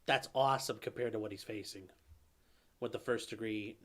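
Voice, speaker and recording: A man's voice: lowish voice, fairly even intonation, normal speed, a bit bright. Casual man answering live chat. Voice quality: calls his own voice nasally; a viewer calls it velvety. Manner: conversational, answers viewer questions with fillers and restarts. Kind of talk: casual live-stream commentary.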